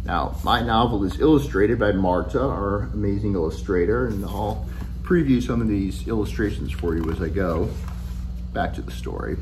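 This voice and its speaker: A middle-aged man, an author reading his children's novel aloud to camera in an expressive, deliberate storytelling manner. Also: fairly low pitch, a little hiss or hum. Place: furnished room at home, indoors.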